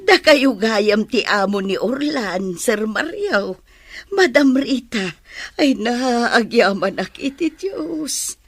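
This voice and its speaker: A woman's voice: a little high, animated, slow pace, no room sound, faint music behind, exaggerated voice. A woman playing an anxious, devout and grateful mother in an Ilocano drama, speaking earnestly and emotionally. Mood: grateful, sorrowful. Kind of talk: Ilocano audio drama acting.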